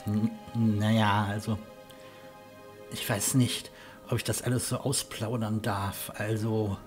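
A man's voice: on the low side, little pitch movement, slow, music in the background. A man acting a friendly castle huntsman. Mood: hesitant, evasive. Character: friendly male castle huntsman, hesitant, helpful. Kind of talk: fantasy audio drama acting.

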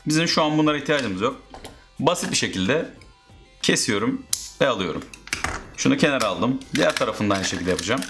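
Male DIY host, medium pitch, informal how-to narration over music. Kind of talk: casual step-by-step how-to narration.

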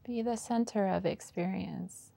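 Slow, gravelly woman guiding meditation. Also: mid-range voice. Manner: slow, pausing, unhurried delivery with gentle prompts. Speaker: meditation teacher. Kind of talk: guided meditation.

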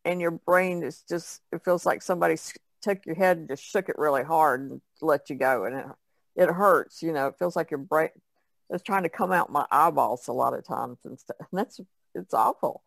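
Creaky-voiced woman chatting on a podcast. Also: low voice, normal speed, dry recording. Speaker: podcast co-host. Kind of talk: casual chat.

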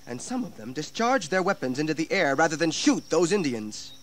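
A somewhat high voice plays a proud colonial militia colonel in a historical audio drama. He protests in stiff, formal, defensive terms, with faint noise behind him. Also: big pitch swings, a little fast, a bit bright.

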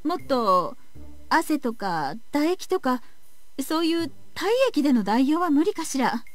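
A woman playing a calm, caring young female researcher in a visual novel game. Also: high-pitched, unhurried, soft background music. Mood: curious. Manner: soft-spoken, polite. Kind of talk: Japanese visual novel voice acting.

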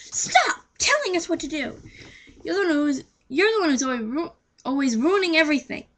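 Fed-up teen girl young female reader, raised pitch, loud, defiant, accusing. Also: sing-song, normal speed, slight background noise. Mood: angry.

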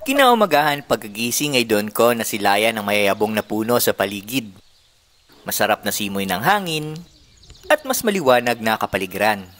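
A young man calmly narrating his camping trip in a measured, poetic voice-over. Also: on the low side. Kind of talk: scripted reflective voice-over narration. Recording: voice-over with loud music behind.

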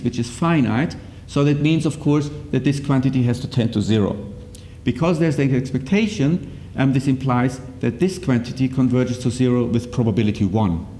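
Middle-aged man lecturing in a reverberant hall. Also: darkish tone. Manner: checks in with short tags like okay and yeah. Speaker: academic lecturer presenting a paper. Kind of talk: technical conference lecture, explanatory and semi-improvised. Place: indoor lecture room with projector screen. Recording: reverberant lecture hall, rumbling background noise.

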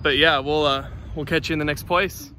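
A man's voice: fairly high pitch, steady tone, brisk. A young man from Kansas chatting casually into a phone held at arm's length, rambling with lots of fillers and restarts. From Kansas, USA. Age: young adult. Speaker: vlogger. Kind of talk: casual vlog chat. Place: street outdoors, then inside a parked car.

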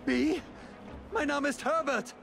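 An actor playing a small-time hired lackey in a video game. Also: male voice, very high-pitched, slow, vocal fry, over music, full character voice. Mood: nervous, evasive.

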